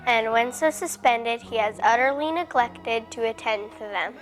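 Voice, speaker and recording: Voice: medium pitch, moderate pace, a bit bright. A child reading a formal historical text aloud.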